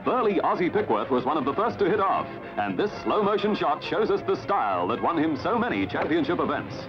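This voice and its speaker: A man's voice: on the high side, sing-song, fairly quick, dull audio, over music. A man with an Australian accent narrating in scripted 1950s newsreel style, with a theatrical, jaunty announcer delivery. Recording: band-limited vintage 1950s newsreel soundtrack.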